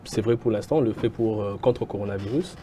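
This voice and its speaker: Un homme originaire du Kasaï, au Congo, invité d'une interview, qui répond avec beaucoup d'hésitations. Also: male voice, lowish voice, a little fast, mellow, a little hiss or hum. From Kasai, Congo. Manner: hesitant, many filler sounds. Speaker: guest, entrepreneur. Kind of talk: interview answers.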